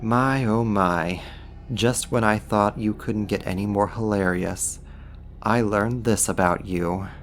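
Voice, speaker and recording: A man's voice: on the low side, a little hiss or hum. A man playing a smug, possessive archdemon in an ASMR roleplay, teasing and coaxing a human in an intimate, amused manner. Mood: mocking amusement. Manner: teasing, smug, deliberate delivery. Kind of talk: ASMR roleplay acting, intimate second-person monologue.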